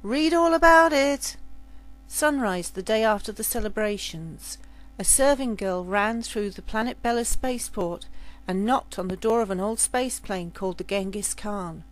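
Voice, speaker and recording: A woman's voice: medium pitch, normal speed, soft background music, poor audio. A woman storyteller reading a scripted science fiction tale as a narrator.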